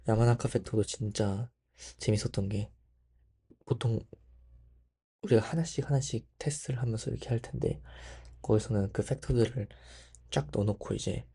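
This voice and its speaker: A man's voice: lowish voice, gravelly, dark tone, husky. A young man talking to himself in a rambling, thinking-aloud way while he studies, often breaking off and restarting. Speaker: medical student. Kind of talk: casual study vlog monologue.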